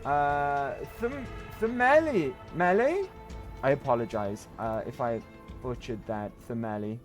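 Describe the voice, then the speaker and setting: A man with a croaky voice casually chatting to viewers while playing a game on a livestream, breaking into sudden exclamations. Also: medium pitch, expressive, unhurried, faint music behind. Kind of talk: casual gameplay livestream chat.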